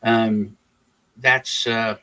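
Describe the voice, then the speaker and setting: A man's voice: on the low side, level tone, sharp tone, soft background music, low-quality recording. Rambling American man, casual talk. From Texas, raised in Germany. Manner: rambling, halting restarts. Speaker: livestream host. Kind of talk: casual political chat.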